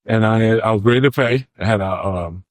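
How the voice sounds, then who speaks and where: A man, co-hosting a podcast and telling a rambling, relaxed story. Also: lowish voice, fairly quick, no echo. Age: 40s. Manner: relaxed, rambling, says "bro". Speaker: podcast co-host. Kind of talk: casual storytelling chat.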